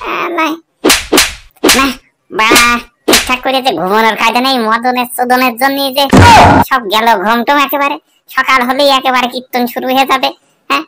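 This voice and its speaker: Woman as grumpy, high-voiced cartoon neighbour Futo, noisy. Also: fairly even intonation, moderate pace, pauses often. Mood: exasperated grumbling. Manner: grumbling, exasperated, swearing. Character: grumpy, sleep-deprived, foul-mouthed male neighbour named Futo. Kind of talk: cartoon comedy dialogue. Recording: very noisy, clicking background.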